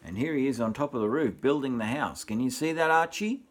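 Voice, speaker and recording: An older man reads a picture book to young children like a grandfather. His voice is husky and rises and falls a great deal, with expressive asides put straight to the little listeners. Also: mid-range voice, fairly quick. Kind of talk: storybook reading with asides to children.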